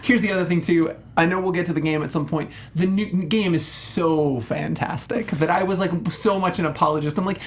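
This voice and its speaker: A man's voice: high-pitched, brisk, hardly any pauses, a little hiss or hum. A man co-hosting a film review show, chatting casually with emphatic opinions, slang and acted-out mock quotes. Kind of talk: casual opinionated panel chat.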